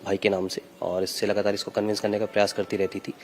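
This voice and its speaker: A man speaking as a police official, explaining findings in an unscripted, measured briefing with hesitant pauses. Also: on the low side, completely flat delivery. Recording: faint background noise and ambience. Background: faint ambient noise.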